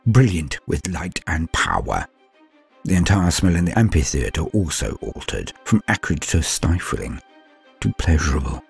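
Deep-voiced man narrating a grim horror tale. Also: creaky. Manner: grave, measured first-person storytelling with hushed low drops and rising swells. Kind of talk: dramatic horror story reading. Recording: soft music bed underneath.

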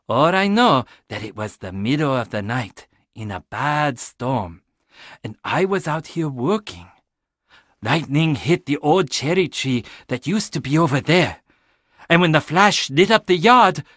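Laid-back, slow-talking young handyman. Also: a little high, fairly bright tone, no echo, clean studio sound, heavily acted. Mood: hushed storytelling. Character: casual, teasing young handyman who loves puzzles. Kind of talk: video game voice acting.

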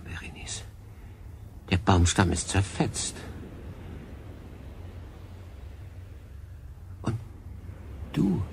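A man's voice: on the low side, a little mellow. An actor playing a stranded, thoughtful and conflicted man in a radio drama, speaking earnestly and reflectively. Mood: shaken, apologetic. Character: stranded human castaway, male, thoughtful and conflicted. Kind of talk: German radio drama acting. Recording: clear background noise.

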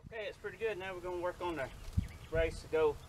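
A middle-aged man on a farm, casually narrating fence work in a relaxed, rambling way. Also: high voice, quick pace, over loud music, poor audio. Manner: relaxed, rambling, self-correcting. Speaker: farmer vlogger. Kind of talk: casual narration while working. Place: open farm field outdoors. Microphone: camera on tripod at a distance. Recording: outdoor camera audio with clear background noise.

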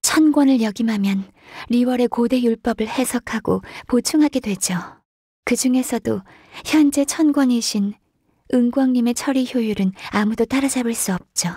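An actress voicing a gentle, diligent, shy young woman secretary in a video game, speaking softly, politely and hesitantly. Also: fairly high pitch, normal speed, husky, no echo, clean studio sound. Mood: calm, earnest. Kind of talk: video game character voice lines.

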